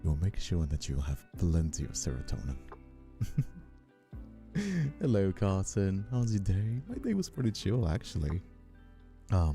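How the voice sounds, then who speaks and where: Male streamer, extremely low gravelly voice, affectionate casual chat, faint music underneath. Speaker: streamer and host.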